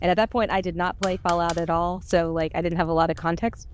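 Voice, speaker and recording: A woman's voice: lowish voice, fairly even intonation, quick pace, slight background noise. A woman chatting casually and telling personal stories in a candid, self-deprecating way. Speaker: podcast voice actor. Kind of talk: casual chat, personal storytelling.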